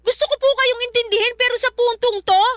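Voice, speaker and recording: A woman's voice: very high-pitched, steady tone, quick pace, fairly bright tone. A woman playing an exasperated, pleading grown child confronting a parent in an emotional Tagalog radio drama. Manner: emotional, respectful yet confrontational. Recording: music underneath.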